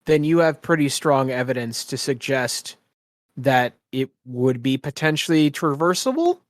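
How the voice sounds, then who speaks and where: A man acting as game master, improvising descriptions for a tabletop fantasy game in a halting, piecemeal way. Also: mid-range voice, lively intonation, dry recording, clean studio sound.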